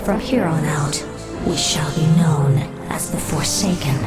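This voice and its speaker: An icy, commanding undead queen, speaking low and slow. Her orders are clipped and cold. A strong game score plays behind her. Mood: proud. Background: music.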